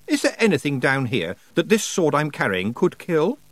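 A man's voice: on the high side, huge pitch swings, normal speed, dry recording, voice pushed up. A man doing an earnest, nervous young hero. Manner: polite and anxious. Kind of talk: audiobook character voice.

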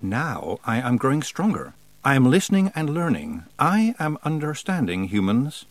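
A man voicing a godlike, lovestruck winter spirit in a storybook, speaking slowly and deliberately. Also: medium pitch, sing-song, dry recording, voice pushed up, lightly acted. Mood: solemn, yearning. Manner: slow, deliberate. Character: godlike male winter spirit, slow and besotted.

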